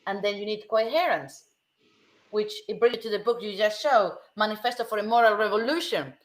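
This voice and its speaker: Emphatic woman from Germany, roomy sound. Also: medium pitch. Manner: emphatic, slows down to stress key words. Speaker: co-host. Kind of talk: casual discussion. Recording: roomy video call audio.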